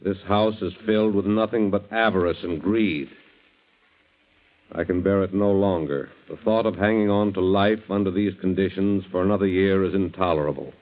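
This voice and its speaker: An actor playing a grave doctor solemnly reading a letter aloud in a 1940s radio drama. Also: male voice, low voice, moderate pace, close to an everyday voice. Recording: dull 1940s radio recording.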